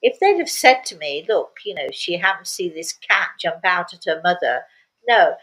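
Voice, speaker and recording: A woman's voice: fairly low pitch, expressive, normal speed, fairly bright tone, poor audio. An older woman speaking as a spiritual medium, telling stories casually and repeating words for emphasis. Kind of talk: casual storytelling talk.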